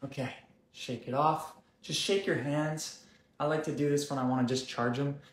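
A man in his thirties hosting a live wellness stream, calm and gently guiding, with slow deliberate phrasing. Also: a little high, roomy sound. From South Africa, raised in Canada. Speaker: wellness host. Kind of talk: live-stream coaching chat. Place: room indoors.

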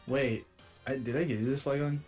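A man with a medium-pitched voice chats casually as he plays a video game with friends. Faint game music sits behind his voice. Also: steady tone, a little fast, darkish tone. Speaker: player in a group game video. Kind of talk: casual gameplay banter.

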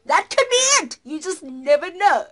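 A young woman with a South African accent, giving informal, satirical political commentary to camera in an animated, emphatic, conversational way. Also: extremely high pitch, normal speed. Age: young adult. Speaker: host of a political commentary show. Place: small indoor room, plain dark wall.

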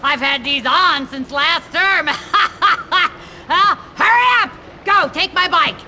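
A woman playing a gruff, slovenly middle-aged school cook in a video game, coarse and cackling. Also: very high-pitched, slow pace, pushed and bright, slight background noise, heavily acted. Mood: brash, amused.

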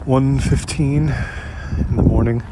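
A man performing a first-person horror story like a spoken diary, in a halting, uneasy, confiding way. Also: mid-range voice, steady tone. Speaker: narrator of a horror audio drama. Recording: clear background noise.

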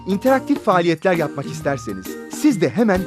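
A woman's voice: fairly low pitch, big pitch swings, fast talker. A woman announcing a toy commercial in an upbeat, selling way, with music playing. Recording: with background music.

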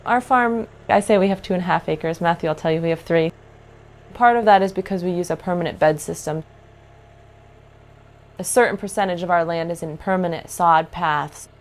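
Low-voiced woman farmer explaining methods. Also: normal speed. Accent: American. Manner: earnest, explanatory. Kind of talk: documentary interview. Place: living room with bookshelves, indoors. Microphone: lapel mic.

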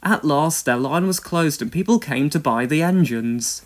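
A man reading a scripted children's story aloud as narrator, with expressive delivery. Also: a little high, moderate pace.

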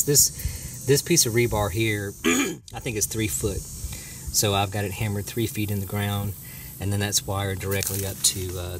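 A middle-aged man giving a casual hands-on demonstration in a halting, hesitant way, recorded on a handheld camera. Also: fairly low pitch, slight background noise. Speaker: homestead vlogger. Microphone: handheld camera, speaker behind it.

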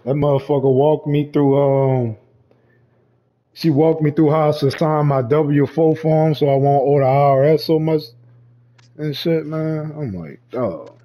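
A man's voice: fairly high pitch, measured pace, mellow. A man in casual chat, talking in heavy slang with lots of swearing and frequent laughter. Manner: slangy, profane, laughs often.

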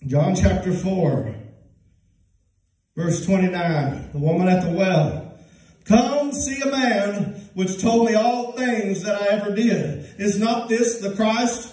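A man's voice: slow pace, distant mic. Man preaching with a Southern accent in an echoey church. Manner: impassioned preaching, swings from loud and high to low and soft. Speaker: pastor. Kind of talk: sermon. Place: church sanctuary, at a pulpit. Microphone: handheld mic, filmed from far off.

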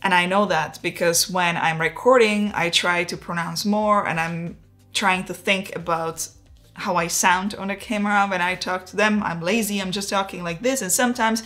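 A woman's voice: fairly low pitch, normal speed, soft background music. A woman in her forties from the Czech Republic with a Czech accent, chatting casually and laughing at herself as a photography vlogger, recorded on a lapel mic in a home studio. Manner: relaxed, chatty, self-deprecating, gestures with her hands. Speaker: photography YouTuber. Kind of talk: casual talking-head vlog. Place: home studio room, indoors. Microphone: wireless lapel mic.

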